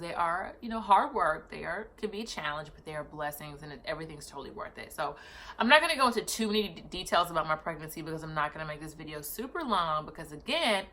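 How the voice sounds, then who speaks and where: Chatty low-voiced woman in her thirties. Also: sharp tone. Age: 30s. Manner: chatty, corrects herself mid-sentence. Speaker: vlogger. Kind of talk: casual personal vlog storytelling.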